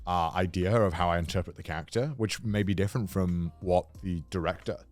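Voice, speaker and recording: A man's voice: low-pitched, steady tone, fairly quick, darkish tone. A man co-hosting a podcast, explaining his opinions in casual, slangy chat. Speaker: podcast co-host; voice actor.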